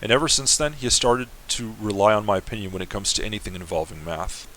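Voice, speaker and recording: A man's voice: on the low side, quick pace, a bit bright, slight background noise, natural voice. A man reading a letter from an earnest fifteen-year-old bride to her mother. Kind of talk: semi-dramatic reading of a game.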